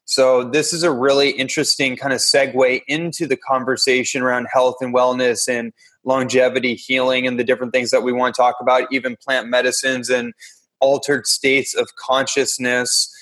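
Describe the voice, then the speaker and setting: A man with a mid-range voice hosts a relaxed interview. He asks questions in a meandering, hesitant way and keeps rephrasing them with fillers. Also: medium pitch, normal speed, poor audio. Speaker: podcast host.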